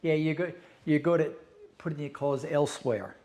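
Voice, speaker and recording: A man's voice: fairly high pitch, normal speed, darkish tone. A man, a guest telling stories in a blunt, profane, casual way and laughing often. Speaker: interview guest. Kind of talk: casual conversational storytelling.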